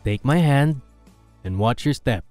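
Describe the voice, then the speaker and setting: An actor playing a gentle, reassuring young heir to a ranch in a romantic audio drama, speaking softly with a Filipino accent. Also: male voice, mid-range voice, animated, slow, dry recording. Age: young adult. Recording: music bed behind voice.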